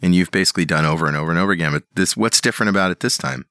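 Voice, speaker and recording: A man's voice: low voice, bright, forceful tone, no room sound, studio quality. A man hosting a podcast, reading a listener email aloud and chatting with guests. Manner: reads listener emails aloud, quick interjections. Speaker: podcast host. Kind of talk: casual podcast chat.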